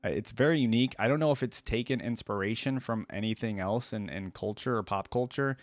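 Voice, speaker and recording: A man's voice: lowish voice, brisk. A man hosting a reaction video, commenting casually and reading story passages aloud. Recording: roomy echo, faint music behind.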